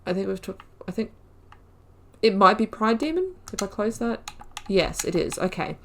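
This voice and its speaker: A woman's voice: medium pitch, expressive, moderate pace, creaky, slight background noise. A woman giving casual, chatty commentary on a game playthrough. She thinks aloud with enthusiasm and reads in-game lore entries aloud. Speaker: gaming channel host.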